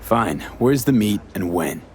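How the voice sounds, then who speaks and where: An actor playing a blunt, skeptical, streetwise mercenary in a video game, talking in terse, clipped, slangy phrases. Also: male voice, on the low side, expressive, a little slow. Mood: skeptical, impatient. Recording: noisy street ambience behind.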